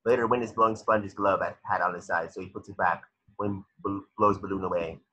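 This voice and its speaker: A man's voice: low-pitched, steady tone, a little fast, sharp tone, poor audio. A man narrating a cartoon script's stage directions in a matter-of-fact way, sometimes stumbling over the words. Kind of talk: reading a cartoon script aloud.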